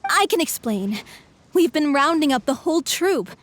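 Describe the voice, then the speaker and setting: A small, chirpy sidekick girl from a video game. The voice is high and eager, with a chatty, curious manner. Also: expressive, moderate pace. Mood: upbeat.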